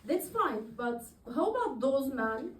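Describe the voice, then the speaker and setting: A woman in her thirties from Turkey does stand-up on a club stage, chatty and quick to laugh at her own jokes. Her voice sits high, and she takes her time with the lines. The sound comes from a distance, with room echo. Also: big pitch swings, noisy background. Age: 30s. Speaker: stand-up comedian. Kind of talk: open-mic stand-up comedy. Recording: echoey room recorded from afar.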